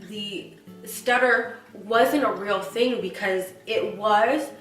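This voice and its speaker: Woman vlogger with a stutter, unhurried and reflective, roomy sound from a distance. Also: medium pitch, fairly bright tone, faint music behind. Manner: earnest, reflective, many fillers and restarts. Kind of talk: casual personal chat to camera.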